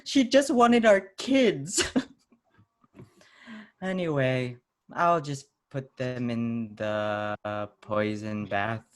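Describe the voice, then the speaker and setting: A woman's voice: rumbling low, extremely slow, pitched below the performer's own voice, exaggerated voice. A woman playing a melodramatic, callous mother in improvised radio comedy, drawing out her mock-tragic lines. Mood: callous, breezy.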